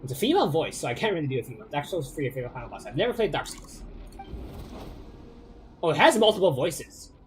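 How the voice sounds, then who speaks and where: A man's voice: a little high, sing-song, fast, long pauses, a little hiss or hum, rough recording. A man streaming a game, chatty and self-mocking, often trailing off and repeating words as he reacts. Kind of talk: casual game commentary.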